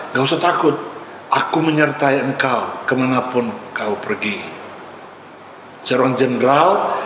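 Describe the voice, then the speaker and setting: A man's voice: medium pitch, a bit bright. A male pastor from Ambon, Indonesia, preaching a sermon in short, emphatic, exhorting phrases with repetition. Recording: echoey hall with long reverb, faint noise.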